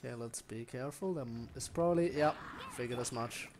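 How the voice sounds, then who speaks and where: A man's voice: mid-range voice, fairly quick, background noise. A man giving casual live gameplay commentary, often muttering to himself as he plays. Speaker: game streamer.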